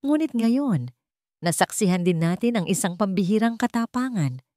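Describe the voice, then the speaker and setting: A woman's voice: mid-range voice, lively intonation, moderate pace, dark tone, no echo, studio quality, close to an everyday voice. A woman voicing a stern, solemn king in a dramatic story, speaking with cold, grave authority. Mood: solemn, reflective. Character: stern, solemn male king passing judgment.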